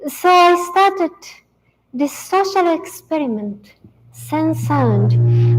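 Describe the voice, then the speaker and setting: A woman with a Japanese accent gives a prepared talk with calm, measured care. Her voice sits high and she takes her time over each phrase. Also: rough recording. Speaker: musician giving a talk.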